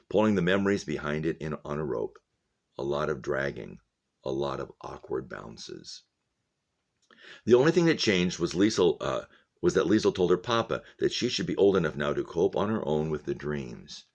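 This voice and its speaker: Male, very low pitch, teacher reading fiction aloud, narration, explanatory asides. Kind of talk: read-aloud narration with commentary.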